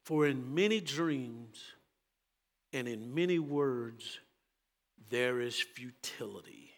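A Texan man preaching a sermon as pastor, moving between loud, fervent calls and quiet, low asides. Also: animated, slow pace, in a large hall.

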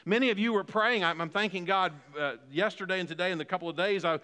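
A man's voice: sits high, fast talker, sharp tone, big-room sound. A man in his fifties preaching a sermon as pastor, building emphasis through repeated phrases. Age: 50s. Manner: preaching with rising emphatic repetition.

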